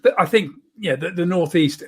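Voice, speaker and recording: An older woman from Britain hosts a relaxed online interview. The voice sits fairly low for a woman, and the delivery is halting, with repeated words and small chuckles. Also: husky. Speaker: show host. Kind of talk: casual video interview.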